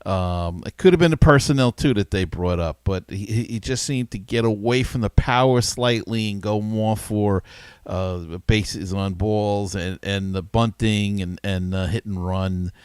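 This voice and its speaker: Smooth lowish guy hosting a sports podcast, casual, opinionated, dry sound. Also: a little slow, a little mellow, no room sound. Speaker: podcast host.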